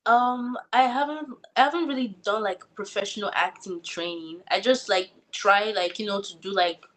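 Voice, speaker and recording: A woman's voice: medium pitch, moderate pace, a bit bright. A young woman with a Nigerian accent, answering interview questions about acting in a thoughtful way, full of likes and restarts. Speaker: guest actor.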